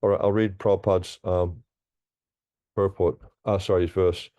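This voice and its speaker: Low, mellow-voiced man teaching a scripture class. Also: fairly even intonation, normal speed. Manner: hesitant, repeats words and restarts often. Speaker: speaker giving a scripture class. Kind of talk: scripture class, reading verses and explaining.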